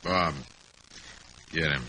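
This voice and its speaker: An actor playing a laconic, menacing American outlaw leader in an old radio western, terse and commanding. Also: male voice, extremely low pitch, steady tone, extremely slow, exaggerated voice. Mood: wary, commanding. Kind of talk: 1950s radio drama acting. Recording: noisy vintage radio recording.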